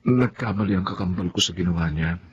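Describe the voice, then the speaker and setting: An actor playing an earnest, easily flustered young boyfriend in a Tagalog radio drama, on a muffled recording. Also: male voice, low voice, fast, slightly rough.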